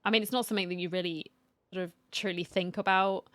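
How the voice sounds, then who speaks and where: A woman with a slightly low voice gives casual game commentary as a streamer. The sound is close and very dry, as on a streaming mic. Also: steady tone, fast, fairly bright tone, no room sound. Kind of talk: casual reaction commentary, reading narration aloud.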